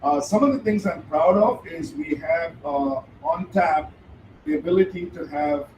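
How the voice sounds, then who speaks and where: A man's voice: on the high side, unhurried, darkish tone. A middle-aged man, the founder, speaking hesitantly with many fillers to a live audience, holding a handheld mic. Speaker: founder of the treadmill venue. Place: event room with audience. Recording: roomy hall, voice from a distance, faint noise.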